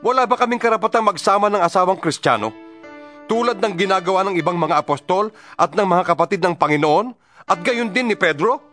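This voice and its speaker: A man reads scripture dramatically in a fairly high voice that rises and falls widely. He declaims with pleading rhetorical questions. Instrumental music plays clearly behind him. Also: animated, fairly quick, sharp tone. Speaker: Bible reader.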